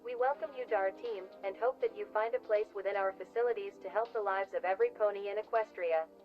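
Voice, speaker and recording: A woman's voice: mid-range voice, quick pace, faint music behind, natural voice. A woman playing a polished corporate recruitment announcer, reading formal promotional narration. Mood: polished, inviting. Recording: dull lo-fi promo video audio.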